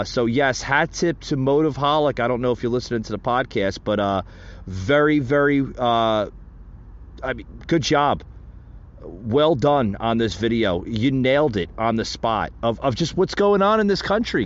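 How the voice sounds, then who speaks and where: A male podcast host talks casually to his listeners in a mid-pitched voice. He tends to repeat himself as he goes. The recording is dull and lo-fi. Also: animated, a little slow. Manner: repetitive, addresses listeners as guys. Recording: dull, lo-fi sound.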